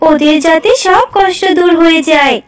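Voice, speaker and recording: A woman's voice: very high voice, normal speed, over music. A woman voicing a grateful, benevolent magic golden fish in a children's cartoon, speaking earnestly. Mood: grateful, kindly. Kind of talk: Bengali children's cartoon dialogue.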